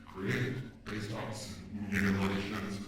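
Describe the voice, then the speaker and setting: Weary, grieving ageing AI played by a man, slow halting delivery, audio drama, very reverberant and off-mic. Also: lowish voice, flat delivery. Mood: formal, reading aloud. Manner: slow, halting, repeats words. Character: ageing experimental humanlike AI, weary and grieving, losing memory. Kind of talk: dramatic audio roleplay monologue. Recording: very echoey, distant from the mic.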